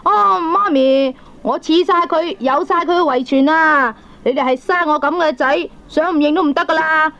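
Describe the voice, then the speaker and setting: A cheeky young good-for-nothing son with a very high voice. He is pushy, shameless and slangy in Hong Kong Cantonese. It is comic radio drama on a dull old cassette. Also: a bit bright. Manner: brazen, slangy wheedling. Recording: dull 1980s audio cassette recording.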